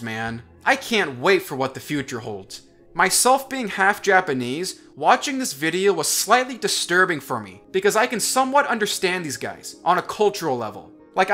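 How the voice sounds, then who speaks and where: A young man in college giving sarcastic, deadpan commentary as a voice-over. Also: sits high. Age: young adult. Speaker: commentary vlogger. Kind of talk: sardonic scripted commentary over animation.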